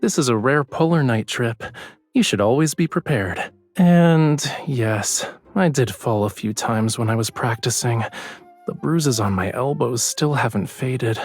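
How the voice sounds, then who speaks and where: Husky, teasing young romantic lead. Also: male voice, mid-range voice, lively intonation, a little slow, faint music behind. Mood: earnest, light.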